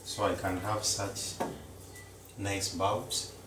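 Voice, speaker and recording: A man, a Luganda speaker, chatting casually to viewers as he cooks in a home kitchen, slow and repetitive. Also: fairly low pitch, fairly even intonation, reverberant, distant mic, heavy background noise. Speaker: cooking vlogger. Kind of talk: casual live-stream chat.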